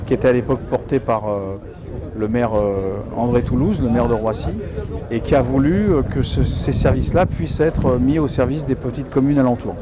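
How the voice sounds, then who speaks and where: A man's voice: mid-range voice, moderate pace, crowd noise. A man, a local elected official, explaining things in an interview in colloquial, hesitant phrasing.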